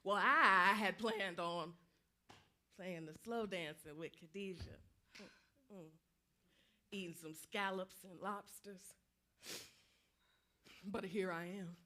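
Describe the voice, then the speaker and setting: A woman's voice: medium pitch, slow, pauses often, bright, forceful tone. A woman acting a restless female soldier's ghost in a staged play reading, taunting and insistent in vernacular speech. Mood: bitter, wistful.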